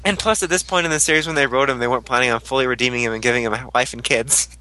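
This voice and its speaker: A man's voice: medium pitch, racing, pushed and bright, no echo, poor audio. A young man in casual podcast chat, joking crudely and using lots of "like" fillers. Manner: crude jokes, frequent "like" fillers.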